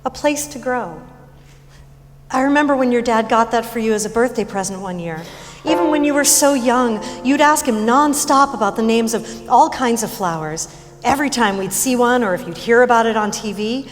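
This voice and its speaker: A woman playing a gentle, anxious mother coaxing her grieving daughter in a staged reading, with halting, tentative speech. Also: fairly high pitch, soft background music, lightly acted. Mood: warm, wistful. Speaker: actor in staged reading of musical. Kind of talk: live stage reading acting. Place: high school stage. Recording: echoey hall, long reverb.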